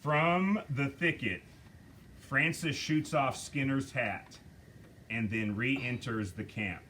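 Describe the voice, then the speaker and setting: A man reading stage directions aloud at a script table reading, slow and measured. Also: mid-range voice, sharp tone, slight background noise.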